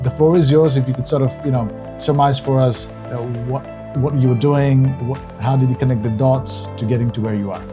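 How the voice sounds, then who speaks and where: A man co-hosting an interview podcast, introducing a guest in a casual, hesitant way full of filler sounds. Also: mid-range voice. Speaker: podcast co-host. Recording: music playing behind the voice.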